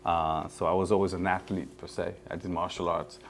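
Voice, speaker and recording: A man in his forties with a mixed Caribbean accent, a guest talking earnestly with many halting fillers. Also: sits low, flat delivery, a little hiss or hum. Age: 40s. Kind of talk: casual chat.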